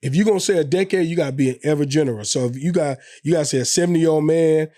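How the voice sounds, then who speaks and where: Man, podcast co-host, argumentative, picks holes in points, slightly high voice. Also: racing, mellow. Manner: argumentative, picks apart the others' claims. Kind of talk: casual chat.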